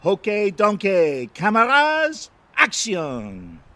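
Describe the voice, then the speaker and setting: Melodramatic, high-voiced director. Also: male voice, wildly swooping pitch, takes it slowly, bright, forceful tone, no echo, exaggerated voice. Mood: bossy, breezy. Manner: theatrical broken English sprinkled with French. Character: excitable, melodramatic foreign commercial director. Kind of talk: audio comedy drama acting.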